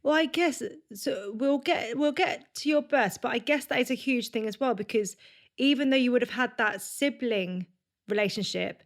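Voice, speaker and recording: A woman's voice: on the high side, moderate pace. A woman hosting a casual podcast interview, chatty and enthusiastic, speaking into a desk mic over a video call. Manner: chatty, enthusiastic, friendly questioning. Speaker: podcast host. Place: home room, indoors. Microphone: headphones with a large desk mic, video call.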